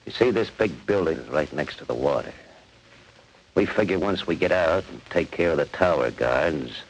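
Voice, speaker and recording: Very deep-voiced tough convict. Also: male voice, lively intonation, normal speed. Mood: scheming, confident. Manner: slangy, ungrammatical tough-guy talk. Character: tough, bossy male convict plotting prison break. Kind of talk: 1940s radio acting. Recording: noisy old 1940s radio recording.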